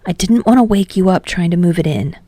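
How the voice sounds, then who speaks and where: A woman voicing a friendly, easygoing, slightly sheepish teenage boy in an audiobook story, with a bright, eager, casual way of talking. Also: lowish voice, fairly quick, dry recording, close to an everyday voice.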